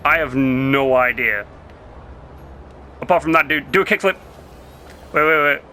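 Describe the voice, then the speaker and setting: A young man giving rambling, casual commentary while playing a city-building game, his voice going hoarse. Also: mid-range voice, a little slow, pushed and bright, slight background noise. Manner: chatty, rambling, self-interrupting. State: losing his voice. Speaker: gameplay commentator.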